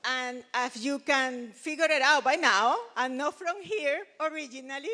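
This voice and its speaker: A woman from Venezuela, emceeing an event in a slow, emphatic, rousing way. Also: sits high, bright, forceful tone, in a large hall.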